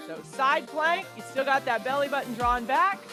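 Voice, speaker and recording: A woman's voice: high voice, animated, moderate pace, music in the background. A woman fitness coach with a Texas accent, cueing a workout class with upbeat encouragement and countdowns.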